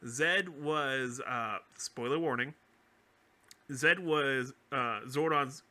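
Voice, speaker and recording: A man chatting casually as a podcast co-host, explaining fan theories in an animated, geeky way and often correcting himself. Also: medium pitch, slow pace.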